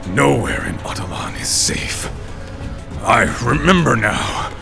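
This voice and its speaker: Gravelly, weary wounded royal. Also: male voice, medium pitch, lively intonation, slow, full character voice. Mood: weary, alarmed. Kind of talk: video game cutscene acting. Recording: music underneath.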